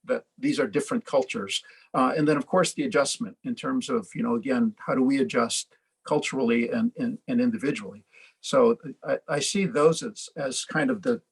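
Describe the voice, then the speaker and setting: Guy on a panel, raspy gravelly voice, kinda halting and thoughtful. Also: medium pitch, poor audio. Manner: reflective, halting with restarts. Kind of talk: panel discussion over video call.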